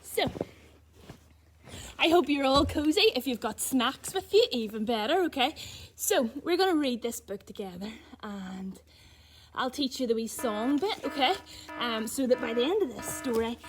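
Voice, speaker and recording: A woman chatting casually and gently to children as a storyteller. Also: high-pitched, lively intonation, moderate pace, echoey room, soft background music. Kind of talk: casual chat to children, reading a picture book aloud.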